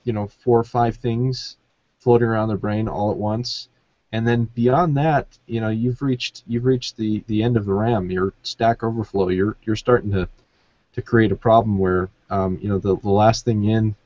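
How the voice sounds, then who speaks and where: A man's voice: lowish voice, steady tone, moderate pace, darkish tone, no echo. A man hosting a casual podcast chat in a jokey, rambling way, often losing his thread. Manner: rambling, jokey, loses his train of thought. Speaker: podcast host. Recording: dull, muffled lo-fi sound.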